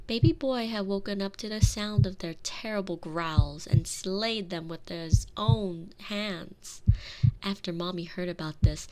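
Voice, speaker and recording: A woman playing a tender, protective girlfriend in an intimate ASMR roleplay, speaking soothingly and softly into a close binaural microphone with lingering phrases. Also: medium pitch, a little hiss or hum, natural voice, low-quality recording. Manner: soothing, breathy near-whispers, lingering drawn-out phrases. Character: tender, protective girlfriend soothing her frightened lover to sleep.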